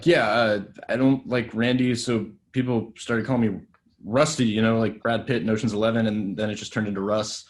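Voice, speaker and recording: Guy reading nervous young gambler dude, stammers a lot, kinda low voice. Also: fairly even intonation, moderate pace, slight background noise, lightly acted. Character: nervous young male actor posing as gambler. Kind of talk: pilot table read.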